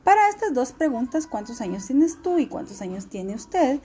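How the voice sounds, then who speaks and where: A woman teacher, giving a recorded class lesson in a clear, instructive way and repeating key words slowly. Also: sits high, big pitch swings, warm tone, slight background noise.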